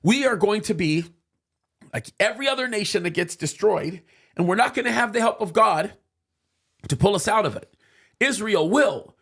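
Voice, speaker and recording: High, gravelly emphatic man. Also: lively intonation, moderate pace, long pauses, a bit bright. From United States, of Egyptian parents. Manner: emphatic, with many restarts and repeated words. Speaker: political commentator in a studio talk show. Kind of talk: heated opinion talk. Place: studio.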